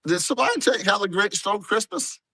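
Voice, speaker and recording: A man's voice: high voice, very animated, fast talker, bright, forceful tone, no echo, low-quality recording. A man chatting casually as a podcast guest, slangy and free with swearing. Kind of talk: casual chat.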